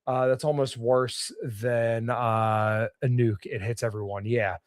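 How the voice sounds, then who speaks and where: A man hosting a political podcast on his own, slightly hoarse as he gets over an illness. He reads news items and cuts in with blunt opinions. His voice sits at an average pitch, recorded dry and close with no room sound. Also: level tone, slow, no room sound. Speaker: podcast host. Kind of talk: solo political commentary livestream.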